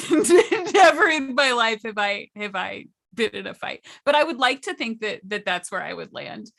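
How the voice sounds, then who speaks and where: Lively, higher-pitched woman, wry and bright. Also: moderate pace, gravelly, no room sound, studio quality. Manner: playful, wry, emphatic. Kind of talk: casual chat. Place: at home, indoors.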